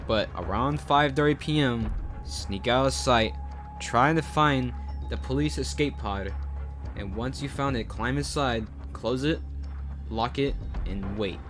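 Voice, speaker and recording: A man voicing a calm male child services agent on the phone who gives steady instructions. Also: natural voice. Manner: calm, reassuring, lowered voice.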